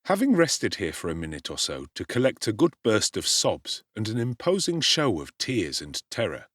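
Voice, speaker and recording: A man narrating a classic novel as a theatrical, highly expressive audiobook performance. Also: moderate pace, dry recording. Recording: dry close studio sound.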